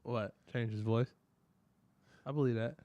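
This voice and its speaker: A man with a middle-pitched voice chats casually as a podcast co-host. He reacts skeptically in short replies, in a dry, close recording. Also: steady tone, a little slow, warm tone, dry recording, highs cut off. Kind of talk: casual chat.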